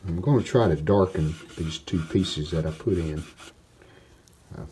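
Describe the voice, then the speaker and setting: A man giving casual, plainspoken, unhurried narration of hands-on workshop work, like a DIY video host. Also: deep voice, warm tone, slight background noise. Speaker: host of a restoration channel.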